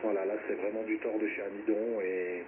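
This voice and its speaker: A man with a fairly low voice talks casually over amateur radio. The transmission is thin and narrow, with clear noise over it. He speaks haltingly, repeating words and tagging his phrases. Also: little pitch movement. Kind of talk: casual radio contact chat. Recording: narrow, very noisy shortwave radio reception.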